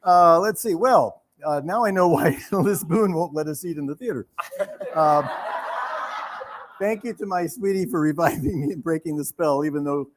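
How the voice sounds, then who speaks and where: A man speaking off the cuff to a theater audience in a halting, jokey way. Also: a little high. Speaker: theater leader speaking on stage. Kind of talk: unscripted live remarks to an audience. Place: theater stage. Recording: noisy live hall with audience.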